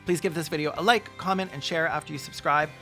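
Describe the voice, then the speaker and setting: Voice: medium pitch, quick pace, faint music behind. Sarcastic video host with a mid-range voice. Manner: mock-serious, deadpan joking. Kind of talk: sarcastic comedic commentary.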